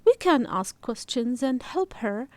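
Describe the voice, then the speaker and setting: A woman's voice: a little high, big pitch swings, a little slow, darkish tone, no echo. A woman voicing a dreamy young girl in a storybook, curious, earnest and a little unsure. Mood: reassuring.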